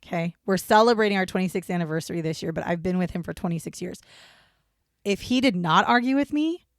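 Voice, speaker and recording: A woman's voice: lowish voice, fast, no echo, clean studio sound. A woman in her forties co-hosting a podcast in casual banter, chatty, laughing often and swearing freely, with rambling asides. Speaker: podcast co-host.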